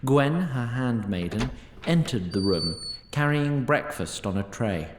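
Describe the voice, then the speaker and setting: A man's voice: expressive, a little slow. A man narrating a fantasy audio drama in a droll, wry, bookish manner. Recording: roomy echo, faint rumbling noise.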